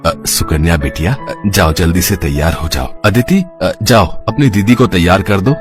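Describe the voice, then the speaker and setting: A man voicing a deep-voiced loving father. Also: moderate pace, close to an everyday voice. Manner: fond, pleading. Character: loving, worried middle-aged father. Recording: music playing behind.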